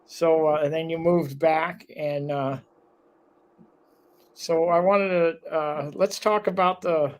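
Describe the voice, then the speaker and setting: A man's voice: a little high, steady tone, slow. A man hosting a local talk show, chatting casually with a guest and rambling with lots of fillers.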